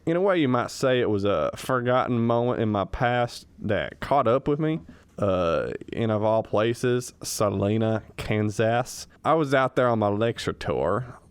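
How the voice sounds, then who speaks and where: A man's voice: mid-range voice, animated, a little slow, clean tone, dry recording. A man acting a shy, absent-minded bachelor professor in a radio comedy, with a hesitant, folksy, wry delivery. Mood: smitten, bashful.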